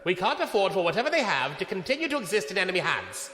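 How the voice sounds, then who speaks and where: An actor playing an imperious, exasperated imperial fleet commodore in a sci-fi audio drama, giving sharp commands. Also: male voice, high-pitched, big pitch swings, quick pace. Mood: commanding. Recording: long hall reverb, noisy ambience.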